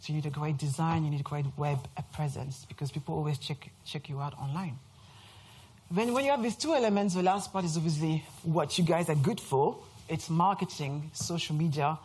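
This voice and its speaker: A woman's voice: low voice, a little fast, a little mellow, slight background noise. A French woman in her thirties with a French accent, giving a chatty, self-mocking talk to a live audience. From France. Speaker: speaker presenting to an audience. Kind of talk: lively presentation talk. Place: event room before a live audience.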